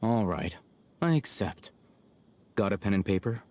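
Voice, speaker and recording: An actor playing an aloof, blunt young scholar in a video game, cool, articulate and condescending. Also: male voice, fairly low pitch, sing-song, a little slow, darkish tone. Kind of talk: English video game voice acting. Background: faint game background music.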